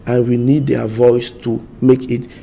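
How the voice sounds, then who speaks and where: Halting gravelly man. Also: mid-range voice, little pitch movement, warm tone, slight background noise. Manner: halting, repeats words, searches for English. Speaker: party spokesman. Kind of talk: studio interview answers.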